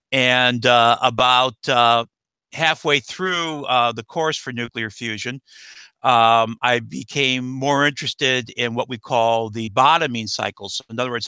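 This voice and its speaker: A middle-aged American man, a guest expert answering interview questions in a halting way, full of uh and um fillers. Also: medium pitch, pushed and bright, clean tone, no room sound. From United States. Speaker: guest expert, nuclear engineer.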